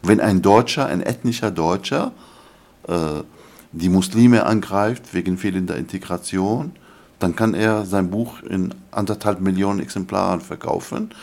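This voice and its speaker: An older man speaks, his voice sitting very low. He answers like a lecturing scholar, earnest and halting, often pausing on fillers as he builds his point. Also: gravelly, a little mellow. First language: Arabic. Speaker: guest scholar. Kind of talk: interview answers.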